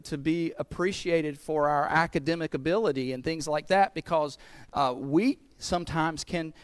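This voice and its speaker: A man's voice: on the high side, no room sound. A man with a Southern US accent preaching a sermon, earnest, often repeating words as he builds a point. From American South. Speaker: pastor.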